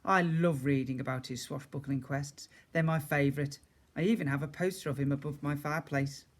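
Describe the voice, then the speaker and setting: A man's voice: a little high, steady tone, brisk. A man reading a children's story aloud as a teacher would, expressively, with chatty asides to his young listeners.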